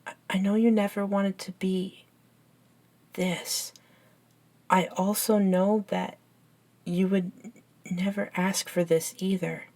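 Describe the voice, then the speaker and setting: Woman acting an earnest, slow-spoken young best friend. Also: medium pitch, little pitch movement, dark tone. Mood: apologetic. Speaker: audio roleplay performer. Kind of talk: ASMR roleplay acting, one side of a dialogue.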